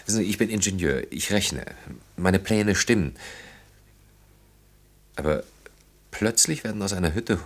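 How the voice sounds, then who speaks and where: Brooding engineer guy in a radio drama, deep voice, thinking out loud, stops and starts. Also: expressive, a little slow, long pauses, full character voice. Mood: brooding, reflective. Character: brooding engineer haunted by wartime childhood and lost friends. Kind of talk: German radio play acting.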